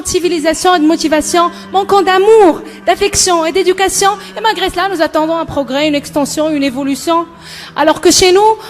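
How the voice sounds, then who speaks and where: A young woman performs spoken-word poetry in an impassioned, emphatic, rhythmic delivery. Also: extremely high pitch. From Morocco. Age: young adult. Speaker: student poet. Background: background music.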